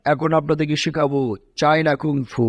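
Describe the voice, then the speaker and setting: A man's voice: on the high side, fairly even intonation, moderate pace, no room sound, close to an everyday voice. Man playing a confident cartoon kung fu teacher, dry sound. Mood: instructive. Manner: explaining, addressing viewers formally. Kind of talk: amateur cartoon dub in Bengali.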